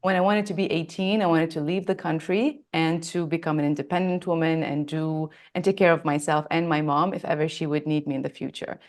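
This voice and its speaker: A woman's voice: deep voice, fairly even intonation, a little fast, hardly any pauses. A woman from Lebanon, in her thirties or forties, telling her own story earnestly and emphatically as an interview guest. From Lebanon, born in Australia. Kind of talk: reflective personal storytelling in an interview.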